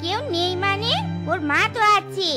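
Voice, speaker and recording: Very shrill, scolding cartoon aunt. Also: female voice, a little slow, loud music behind the voice. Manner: scolding, sharp-tongued, dramatic. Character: cruel scheming shrewish aunt in a cartoon tale. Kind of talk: exaggerated cartoon voice acting.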